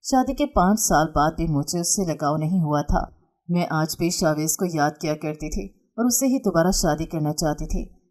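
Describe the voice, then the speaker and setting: Woman, storyteller, first-person dramatic narration, warm dark tone, measured delivery. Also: sits low, animated.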